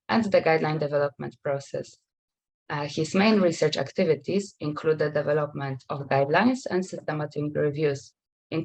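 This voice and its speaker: A woman's voice: low voice, low-quality recording. Young woman hosting a webinar. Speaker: webinar host introducing speakers. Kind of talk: reading speaker introductions. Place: indoors on a video call.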